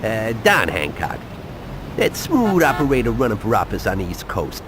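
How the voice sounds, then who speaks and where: An actor playing a jittery streetwise informant in a video game, slangy and excitable, over noisy street ambience. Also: male voice, lowish voice, moderate pace. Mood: confiding warning. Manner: slangy, excitable, repeats verbal tags. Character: jittery, jive-talking streetwise male informant.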